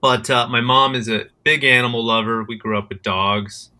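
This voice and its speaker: Bright-voiced American man, halting speech. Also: medium pitch, steady tone. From United States. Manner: hesitant, often repeats words while finding his phrasing. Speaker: guest, criminology researcher and conservationist. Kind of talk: casual interview answers.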